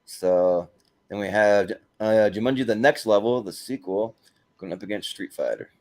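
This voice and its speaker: Low-pitched man hosting a casual panel. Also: lively intonation, normal speed, a little mellow. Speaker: host of panel show.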